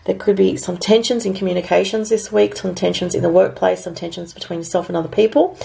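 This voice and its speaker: Raspy, gravelly Australian woman. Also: medium pitch, fairly even intonation, fast talker, warm tone. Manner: rambling, filler-heavy, thinking aloud. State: raspy from recent illness. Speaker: astrologer and tarot reader. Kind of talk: casual weekly astrology and tarot forecast.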